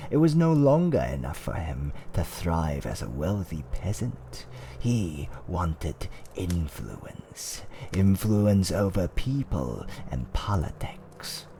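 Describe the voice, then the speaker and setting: Low, slow, dark-voiced male storyteller. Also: slight background noise. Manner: theatrical, hushed, deliberate delivery. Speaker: storyteller in a roleplay audio. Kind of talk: scripted ASMR fireside storytelling, dark fantasy.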